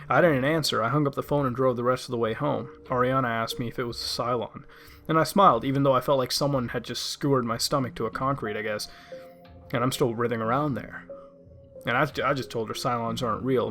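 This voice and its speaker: A man's voice: mid-range voice, fairly bright tone. Male horror narrator over background music. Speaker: creepypasta narrator. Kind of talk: dramatic first-person horror storytelling, conversational.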